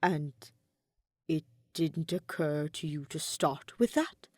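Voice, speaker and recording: A woman's voice: low-pitched, expressive, slow, darkish tone, no echo, voice pushed down, full character voice. A woman voicing a guarded, dour nobleman spymaster with a formal, dry, restrained manner in a story reading. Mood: incredulous.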